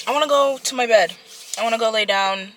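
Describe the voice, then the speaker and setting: A woman's voice: a little high, a little fast, slight background noise, rough recording. A young woman from the Washington DC area, chatting and rambling to her camera from inside her parked car. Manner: chatty, rambling, self-mocking. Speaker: vlogger. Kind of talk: casual talking-to-camera vlog. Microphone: camera mic on a vlog camera.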